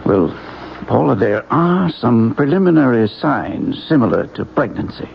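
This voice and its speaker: A cautious, kindly family doctor in old radio drama. His creaky voice moves in lively, emphatic swings. The sound is muffled. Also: medium pitch, unhurried, a little hiss or hum, full character voice. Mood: cautious, firm. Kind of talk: 1970s radio drama acting.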